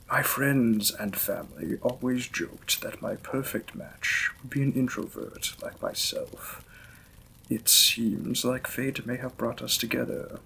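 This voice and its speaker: A courteous vampire lord and gentle new husband from a Victorian romance audio drama, played by a man. He speaks slowly and formally, with a crisp, bright edge and a creaky fry in his voice. His manner is polite and reserved, and there is clear noise behind the recording. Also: mid-range voice, exaggerated voice, poor audio. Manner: formal, polite, unhurried phrasing.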